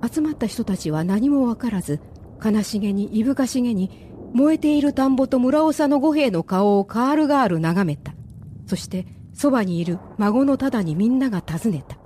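A woman's voice: on the high side, animated, normal speed, warm tone, slight background noise. A woman narrating a radio drama as a scripted, dramatic story.

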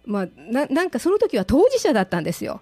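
A woman's voice: high voice, huge pitch swings, quick pace, a little mellow, slightly rough, no room sound. A woman from Kansai speaking as an interview guest from a theatre group. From Kansai, Japan. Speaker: guest, disaster-education theatre performer. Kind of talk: scripted story narration and interview talk.

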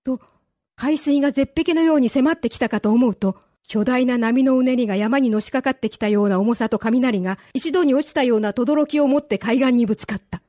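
A woman's voice: on the high side, brisk, mellow, dry recording, clean studio sound. A woman narrating a radio drama as a scripted, dramatic story.

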